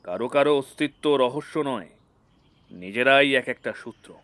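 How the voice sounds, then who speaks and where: A man playing a serious, composed team leader with a measured, authoritative manner in an audio drama. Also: mid-range voice, lively intonation, a bit bright, no echo, lightly acted. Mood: reflective. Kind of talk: dramatic audio story acting.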